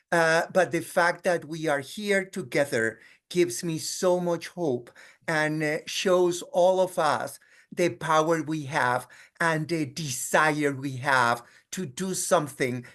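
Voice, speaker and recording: A middle-aged man with a Colombian Spanish accent, speaking in a measured, earnest way as a guest presenter on a webinar. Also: on the high side, a bit bright. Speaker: guest speaker, clinical social worker.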